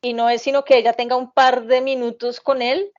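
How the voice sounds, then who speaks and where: A woman's voice: fairly high pitch, a little fast. Chatty woman telling family stories. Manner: animated, rambling, laughs often, frequent fillers. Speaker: podcast guest, mother recounting family migration. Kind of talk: casual conversational storytelling. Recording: slightly muffled podcast audio.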